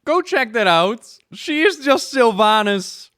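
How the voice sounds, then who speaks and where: A man's voice: very high voice, very animated, slow, a bit bright, clean tone, dry recording, clean studio sound. Clean-voiced man giving enthusiastic game commentary. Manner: enthusiastic, effusive. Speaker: video game lore reactor. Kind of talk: casual reaction commentary.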